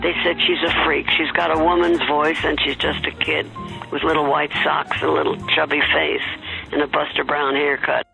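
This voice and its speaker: Older woman with a gravelly, bright voice. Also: low voice, level tone, faint music behind, rough recording. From Baltimore, USA. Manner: reflective, unhurried storytelling. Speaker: interviewee recalling her career. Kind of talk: archival radio interview.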